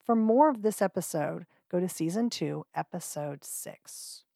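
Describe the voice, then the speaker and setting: A woman's voice: fairly low pitch, expressive, measured pace, gravelly, warm tone, no echo. Dry-sounding upbeat woman podcast host. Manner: upbeat, friendly, with casual fillers. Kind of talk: podcast narration with intros.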